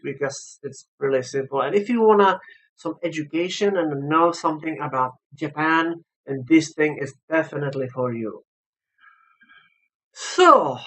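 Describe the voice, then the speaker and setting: Higher-pitched man, halting non-native English reviewer. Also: fairly bright tone, low-quality recording. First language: not English. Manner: halting, rambling, repeats and rephrases himself. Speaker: video game reviewer. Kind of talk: casual unscripted review commentary.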